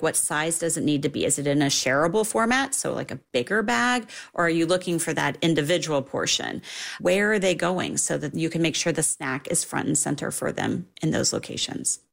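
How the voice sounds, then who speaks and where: A woman interviewed as an industry expert, explaining in an animated way with lots of "like" and "right?". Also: low voice, creaky. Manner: animated, filler-heavy, emphatic. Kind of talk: casual explanatory talk.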